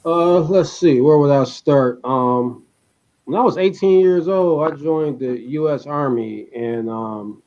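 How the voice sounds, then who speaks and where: A man's voice: fairly high pitch, slow pace, a little mellow, highs cut off. A man from the American Midwest casually recounting personal memories as a panel member. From Detroit, United States. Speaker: panel member, army veteran.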